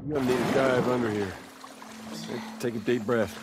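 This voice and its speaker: A man's voice: medium pitch, fairly even intonation, moderate pace, heavy background noise, natural voice. An actor playing a protective, wry, middle-aged fatherly man in a naturalistic video game performance, terse and understated. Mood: dry, relaxed. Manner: gruff-humored, terse, understated.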